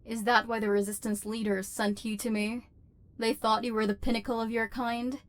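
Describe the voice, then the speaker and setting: Robot overlord played by a woman: female, fairly high voice, stiffly formal, embittered yet secretly tender, for ASMR roleplay. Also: flat delivery, moderate pace, close to an everyday voice. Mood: cold, guarded. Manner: formal, precise, stilted diction with wounded feeling. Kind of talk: scripted ASMR roleplay acting.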